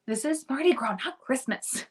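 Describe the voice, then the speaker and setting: A woman with a Baltimore accent co-hosting a podcast in casual chat, rambling with many "like"s and quick self-corrections. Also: sits high, animated. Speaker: podcast co-host.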